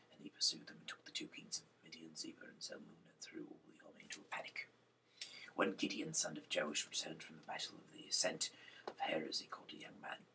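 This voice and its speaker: A man reading scripture aloud in an amateur way, with a breathy, gravelly whisper, nonstandard grammar and stumbles over names. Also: a bass voice, bright, forceful tone, far from the mic, low-quality recording. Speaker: reader of Bible chapters.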